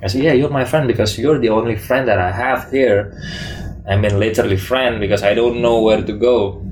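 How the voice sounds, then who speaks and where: Humble Indonesian-accented man, halting English. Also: fairly low pitch, a little mellow, low-quality recording. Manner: humble, halting, repeats phrases in non-native English. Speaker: interview guest, magician. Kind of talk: casual interview conversation.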